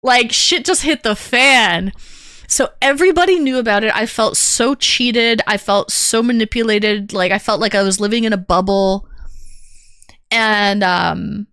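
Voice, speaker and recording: A woman's voice: medium pitch, unhurried, pushed and bright, clean tone, dry recording, clean studio sound. A woman streamer telling a personal story to her chat, candid and rambling with lots of fillers. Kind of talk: casual chat to stream viewers.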